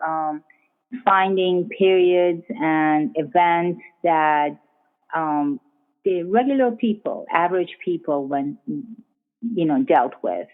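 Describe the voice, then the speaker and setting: A middle-aged woman from Iran, speaking English as a later language, talking hesitantly with many pauses as a guest author. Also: lowish voice. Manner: hesitant, many ums, laughs easily. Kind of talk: casual interview chat. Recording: tinny phone-like line.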